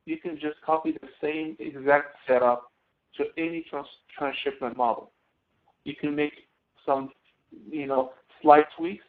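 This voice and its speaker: A man working as a college instructor, explaining step by step in an online class with a halting delivery that often restarts. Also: on the high side, gravelly. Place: online screen-sharing class session. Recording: tinny phone-like webinar audio.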